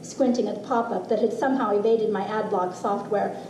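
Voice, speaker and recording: A middle-aged woman reading a personal essay aloud as expressive, deliberate storytelling with dramatic pauses. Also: mid-range voice. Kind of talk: literary reading of a personal essay to a live audience. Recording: roomy, distant mic with faint noise.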